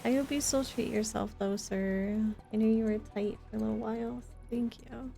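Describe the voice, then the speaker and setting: A woman's voice: fairly high pitch, steady tone, normal speed, creaky, mellow, faint music behind. A woman chatting casually with her viewers while livestreaming a video game, in a chatty, giggly manner.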